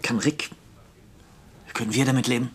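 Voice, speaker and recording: An actor playing a measured, image-conscious politician with a deliberate, conciliatory and formal manner in a radio drama. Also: male voice, medium pitch, steady tone, breathy edge, close to an everyday voice. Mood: grave, measured.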